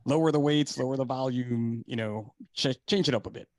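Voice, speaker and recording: A man's voice: medium pitch, fairly quick, darkish tone, no echo. A man, chatting casually and jokily as a podcast host. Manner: colloquial, jokey. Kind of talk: casual chat.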